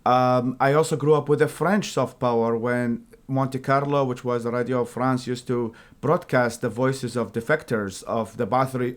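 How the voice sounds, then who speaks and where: A man from Iraq answering in a debate, starting his points hesitantly with fillers before settling in. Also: medium pitch.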